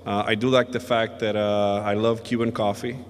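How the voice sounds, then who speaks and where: Low-voiced man presenting in a ballroom. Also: flat delivery, clear, resonant tone. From Dominican Republic. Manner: measured, hesitant delivery with many filler uhs. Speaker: invited conference speaker, emergency physician. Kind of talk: conference presentation. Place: hotel ballroom at a summit. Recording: long reverb of a large ballroom.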